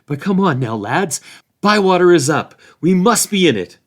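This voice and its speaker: Male reader as a bluff, hearty old farmer hobbit, booming and countrified. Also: big pitch swings, moderate pace. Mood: rousing. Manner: loud, bluff country talk.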